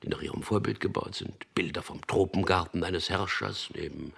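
Very deep, gravelly wry ad man. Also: fairly quick, a bit bright, full character voice. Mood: teasing banter. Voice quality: husky, gravelly. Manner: dry, ironic. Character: wry, weary male propaganda copywriter, narrating his story. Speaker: lead actor and first-person narrator. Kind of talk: German radio drama.

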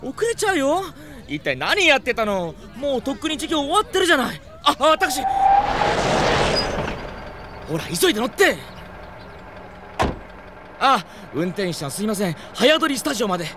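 An actor playing a frantic, fawning young idol manager in a comedic audio drama, nagging and flustered. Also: male voice, very high voice, very animated, normal speed, pauses often, heavy background noise. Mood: nagging, hurried. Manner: nagging, flustered, obsequious, exclamatory.

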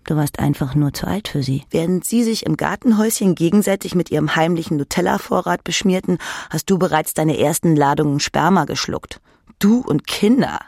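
Husky, creaky woman reading a novel. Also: sits low, fairly quick, darkish tone, dry recording, clean studio sound. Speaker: audiobook reader. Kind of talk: literary audiobook narration in second person.

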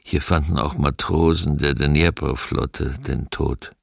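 An extremely deep-voiced man plays a grave witness in a radio documentary. He narrates slowly and solemnly, with a gravelly creak and a dry sound. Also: steady tone, no echo, heavily acted. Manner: slow, solemn.

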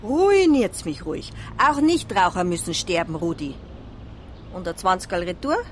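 A woman's voice: on the low side, expressive, normal speed, a little hiss or hum, close to an everyday voice. A woman playing a cheeky, nosy newsstand seller in a radio drama, teasing her customers in a Bavarian accent.

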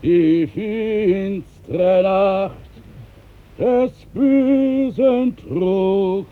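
An actor playing a simple working gardener, singing a morning song in a 1950s German radio drama. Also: male voice, sits high, drawn-out words. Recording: 1950s radio recording, thin narrow band.

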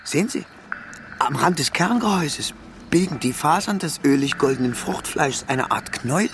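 An actor playing a lonely, melancholy junior soldier in an audio drama, speaking in a hesitant, meek and halting way. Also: male voice, on the high side, lively intonation, background noise. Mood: wistful.

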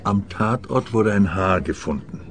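Radio-drama client, vain older man, lowish voice, genteel, measured, evasive. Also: expressive. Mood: worried, explaining. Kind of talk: radio play dialogue.

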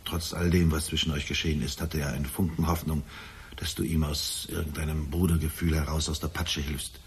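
A man's voice: extremely low pitch, level tone, a little fast, slight background noise. An actor playing a bitter, volatile older father and jealous lover in a German radio drama, brooding and accusing. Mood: grave, accusing. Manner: brooding, accusing, prone to agitated outbursts.

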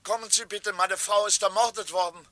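An actor playing a polite, bewildered husband in a 1960s radio drama, speaking precisely. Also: male voice, sits high, fairly even intonation, a little fast, sharp tone, no echo. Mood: shocked. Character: polite, bewildered English husband locked out of home.

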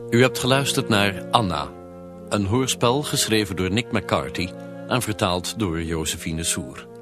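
A man reading the closing credits of a radio drama in a formal, measured way. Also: on the low side. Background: music with brass horn.

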